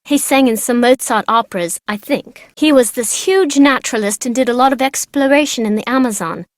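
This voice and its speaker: A woman's voice: on the high side, brisk, no echo, studio quality, close to an everyday voice. A woman voicing a chatty, precocious young girl from an aristocratic family in a video game, with casual, slangy, teasing talk. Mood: chatty, informative. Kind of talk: video game character dialogue.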